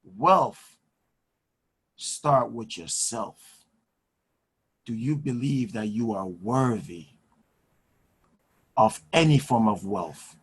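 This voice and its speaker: A man's voice: lowish voice, extremely slow, long pauses, slightly rough. A man hosting a live video call, giving an emphatic motivational talk and repeating his phrases for effect. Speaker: host and finance mentor.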